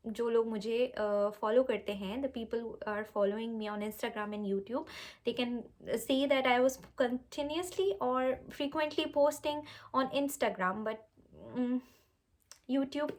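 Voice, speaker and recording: Urdu-accented woman, relaxed vlog talk. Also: fairly high pitch. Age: young adult. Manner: unhurried, with many fillers, switching between English and Urdu. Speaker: cooking channel host. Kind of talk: casual direct-to-camera vlog.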